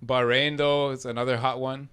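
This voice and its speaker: Smooth-voiced rambling podcast co-host. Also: male voice, medium pitch, level tone, moderate pace, a bit bright. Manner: rambling, hedging, chuckles often. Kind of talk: casual chat.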